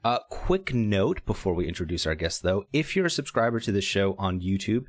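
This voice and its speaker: Male podcast co-host, low voice, dry close mic, jokey and rambling. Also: big pitch swings, a little fast, dry recording. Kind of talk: casual podcast chat.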